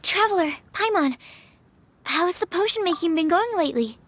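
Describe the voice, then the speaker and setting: Very high, polite young alchemist girl. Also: expressive, normal speed, heavy background noise. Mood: encouraging. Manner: polite, gentle, encouraging. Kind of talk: anime game voice acting.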